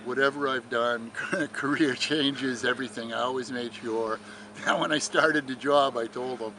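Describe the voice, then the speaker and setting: A man's voice: medium pitch, steady tone, measured pace. Older male, mid register, rambling conversational interviewee. Recorded outside, noticeable traffic ambience. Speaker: longtime radio swap meet vendor. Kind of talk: casual interview chat. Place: outdoors at a radio swap meet. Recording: loud outdoor ambience, vehicle noise.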